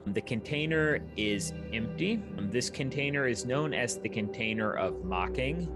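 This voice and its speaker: A man working as game master, narrating a tabletop game in an explanatory, descriptive way. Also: medium pitch.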